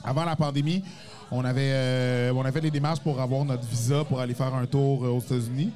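A man's voice: mid-range voice, steady tone, brisk, mellow, soft background music. A man with a Quebec French accent chatting casually as a comedian guest on a podcast, laid-back and full of "tu sais".

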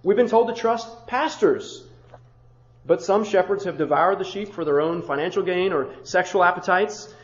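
High-voiced pastor preaching in a roomy hall. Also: male voice, moderate pace. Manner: rhythmic rhetorical lists, conversational asides. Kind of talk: sermon. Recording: muffled roomy church recording.

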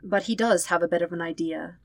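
A woman's voice: fairly low pitch, brisk, no echo. A woman reading fan fiction aloud as a scripted story narration. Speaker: podfic narrator.

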